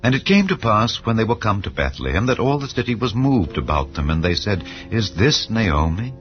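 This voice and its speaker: A man with a very low voice narrates scripture in a solemn, measured way. The recording is a little dull, as if its top end were muted. Soft music plays quietly behind him. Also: sing-song. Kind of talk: scripted dramatized Bible reading.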